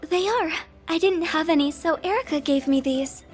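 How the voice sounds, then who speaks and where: A woman voicing a gentle, caring young woman in a video game cutscene, with soft music behind her. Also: very high-pitched, moderate pace. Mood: tender.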